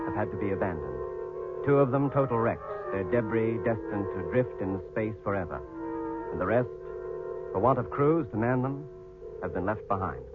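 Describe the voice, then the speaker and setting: A male actor as a weary astronaut, low and solemn, narrates his journal with formal, measured care. It is 1950s radio drama, narrow and tinny, with music playing clearly underneath. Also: natural voice.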